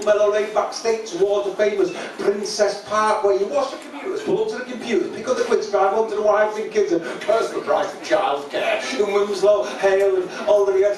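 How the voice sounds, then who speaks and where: A man in his forties with a Mancunian accent performs spoken-word poetry live on stage with a rhythmic, impassioned delivery. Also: sits high. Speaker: performance poet. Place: small dark jazz club stage. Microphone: handheld vocal mic on a stand, often off it. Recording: echoey live club PA, distant, audience noise. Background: audience.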